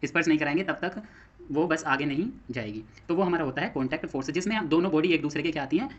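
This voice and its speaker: Male Indian lecturer, higher-than-average voice, explanatory and repetitive, dull lo-fi audio. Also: expressive, fast talker. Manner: explanatory, repeats phrases and asks himself questions. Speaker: physics teacher for exam coaching. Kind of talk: classroom lecture mixing Hindi and English terms.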